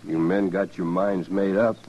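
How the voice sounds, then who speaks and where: Muffled old radio recording, condemned American cowboy, low husky voice, stoic, resigned, terse rural speech. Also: male voice, steady tone, measured pace, dark tone. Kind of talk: 1950s radio western acting.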